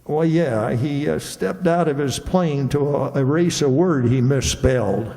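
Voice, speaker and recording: A dithery, literal-minded townsman as a man acts him out in an old-time radio comedy. His voice is high and creaky, hesitant and stammering yet earnest, with the long reverb of a hall around it. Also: unhurried, dark tone. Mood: earnest, deadpan.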